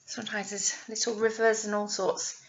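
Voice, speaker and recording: A woman teacher reading a picture story aloud to young children, drawing out key words and pausing to ask them questions. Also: medium pitch, level tone, lo-fi sound. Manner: exaggerated, drawn-out words with questions to the listeners. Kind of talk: animated read-aloud storytelling with asides to children.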